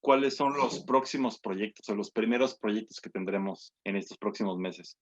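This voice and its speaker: Casting: male host, fairly low voice, casual conversational interviewer, on slightly muffled lo-fi audio. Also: normal speed. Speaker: radio show host.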